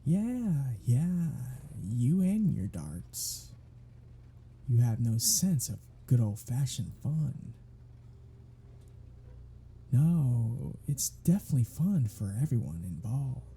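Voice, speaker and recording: A cocky, sardonic vampire, played by a voice actor, in an ASMR audio drama. The voice is very dark and husky, full of breath, and swings widely in pitch. The vampire drawls out teasing, sarcastic banter. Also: sing-song, slow, exaggerated voice. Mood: dry, teasing. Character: cocky, sardonic, teasing, foul-mouthed vampire riding shotgun. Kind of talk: ASMR roleplay audio drama, one-sided conversation.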